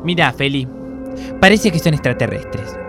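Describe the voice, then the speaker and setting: A man acting a lively, bossy Argentine investigator. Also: on the high side, normal speed, loud music behind the voice. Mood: suspicious. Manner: scolding, emphatic. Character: bossy, impatient, scheming paranormal investigator. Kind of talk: comedic amateur radio play acting.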